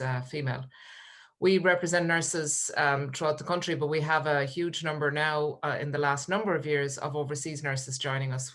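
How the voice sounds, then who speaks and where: Low-voiced woman, pausing. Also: fairly bright tone. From Ireland, Kerry Gaeltacht. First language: Irish. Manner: measured, pausing, frequent ums and uhs. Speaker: trade union leader, panel guest. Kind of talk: webinar discussion. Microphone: video call.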